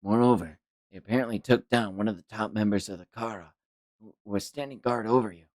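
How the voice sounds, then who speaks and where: A man's voice: on the low side, fairly quick, a little mellow, no room sound, close to an everyday voice. A man voicing a cautious, grumbling ninja adviser who speaks seriously and scoldingly in a manga read-along. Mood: stern.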